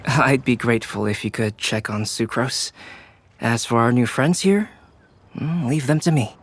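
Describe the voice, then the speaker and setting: An actor playing a thoughtful young scholarly artist in a video game, polite, measured and reflective. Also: male voice, mid-range voice, slight background noise, lightly acted. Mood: calm.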